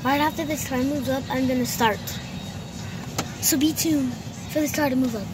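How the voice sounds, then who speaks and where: A female vlogger chatting excitedly and casually to viewers from inside a car. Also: high-pitched, moderate pace, music in the background. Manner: excitable, repeatedly addressing viewers. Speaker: vlogger filming a prank. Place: inside a car at a drive-thru. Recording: noisy in-car recording. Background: car and vehicle noise.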